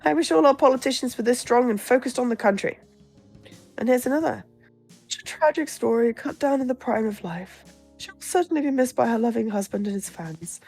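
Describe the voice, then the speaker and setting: A woman's voice: a little high, fairly quick, gravelly, darkish tone, soft background music. A woman voicing a positive, matter-of-fact campaign aide in a visual novel, blithe and consoling.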